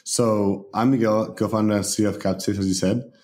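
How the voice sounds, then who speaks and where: A man from Spain, a company founder, talking as a guest in a relaxed way with many fillers. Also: fairly low pitch, a little fast. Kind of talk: casual interview talk.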